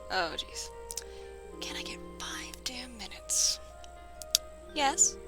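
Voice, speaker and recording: A woman's voice: sits high, animated, slow, sharp tone, faint music behind, exaggerated voice. A woman playing a brash, teasing sergeant with a blunt, irreverent, casual delivery in a fantasy audio drama. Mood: dry, irreverent. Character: Edith, brash, teasing female spear-wielding sergeant.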